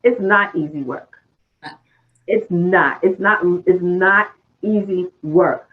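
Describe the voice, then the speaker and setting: A host speaking over a tinny, phone-like remote line. The tone is reflective and affirming, sharing personal insight at an even, mid-range pitch. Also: slow pace, faint music behind. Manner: thoughtful, affirming, shares own views. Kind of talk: reflective interview chat.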